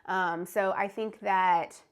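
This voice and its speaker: A woman's voice: mid-range voice, steady tone, slow pace, clean tone. A young woman co-hosting an expat travel vlog, speaking in an easygoing, thoughtful way. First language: English. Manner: easygoing, thoughtful, laughs lightly. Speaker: co-host of expat travel channel. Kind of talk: casual conversational chat.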